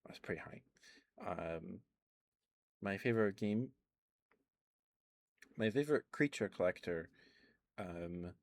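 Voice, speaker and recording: A man softly rambling about video games in a relaxed ASMR stream, with many ums and uhs. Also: sits low, gravelly, dry recording. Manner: relaxed, quiet and unhurried.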